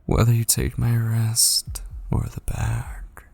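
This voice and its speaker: A man playing a possessive, obsessed kidnapper in an intimate ASMR roleplay, coaxing with menacing tenderness in a breathy voice that drifts toward whispers. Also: medium pitch, monotone, darkish tone, no room sound, exaggerated voice. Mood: taunting, insistent. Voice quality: breathy, husky. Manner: slow, coaxing, menacingly tender, lingering on phrases. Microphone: close studio microphone.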